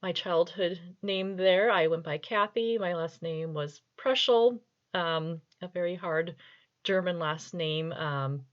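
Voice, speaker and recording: Middle aged lady author, kinda low voice, playful casual poetry reading, muffled homemade audio. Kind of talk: casual chat and mock-serious poetry reading. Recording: dull, lo-fi home video audio.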